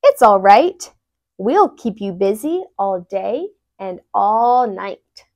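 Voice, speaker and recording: A young woman voicing a small, insistent animal friend from a children's storybook, loud and encouraging. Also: mid-range voice, lively intonation, takes it slowly, no echo, studio quality. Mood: reassuring. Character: small eager bossy male-or-female mouse and animal friends.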